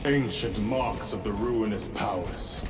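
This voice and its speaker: An actor playing a stern, resolute veteran space marine lieutenant in a video game, speaking in grave, terse, formal lines. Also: male voice, on the low side, takes it slowly, music in the background. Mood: grim. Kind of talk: video game cutscene acting.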